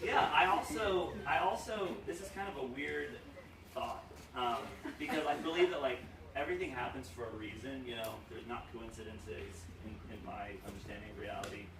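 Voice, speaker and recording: A man's voice: mid-range voice, moderate pace, vocal fry. A young man from Romania giving a joking, rambling best-man toast full of fillers, at a wedding reception. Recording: echoey room, far from mic, noisy.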